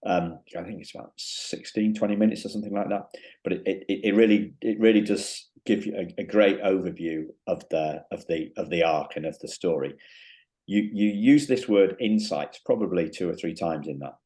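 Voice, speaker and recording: A man hosting an interview podcast, talking in a halting way with many restarts and fillers. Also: low voice, dark tone. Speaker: podcast host. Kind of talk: casual interview chat.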